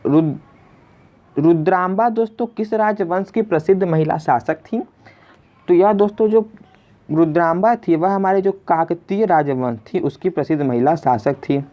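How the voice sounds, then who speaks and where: A man's voice: sits high, moderate pace, mellow. A man hosting an exam lesson in a question-and-answer style, addressing listeners as friends and repeating his questions. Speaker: quiz teacher and video host. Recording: dull lo-fi voice recording.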